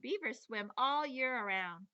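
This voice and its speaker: A woman teacher reading a children's nature book aloud in an expressive, playful storytelling manner, with chatty asides. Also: a little high, unhurried, clear, resonant tone, lo-fi sound.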